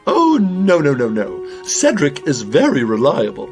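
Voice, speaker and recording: A man's voice: mid-range voice, wildly swooping pitch, faint music behind. A man voicing a dramatic, genteel, fretful mother owl in a children's audio drama. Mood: earnest, defensive. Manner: theatrical, fretful, drawn-out emphasis.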